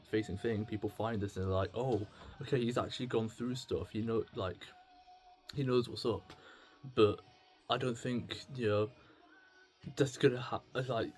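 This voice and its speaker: A young man with a slightly husky voice, rambling through a self-deprecating, slangy, sweary confessional video diary. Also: mid-range voice. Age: young adult. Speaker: solo video diarist. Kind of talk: rambling, confessional casual chat to camera.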